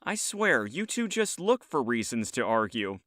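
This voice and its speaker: Man as stern eldest elf sibling, bright voice, lively intonation, formal, articulate, exasperated, fantasy audio drama. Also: mid-range voice, unhurried, dry recording, studio quality.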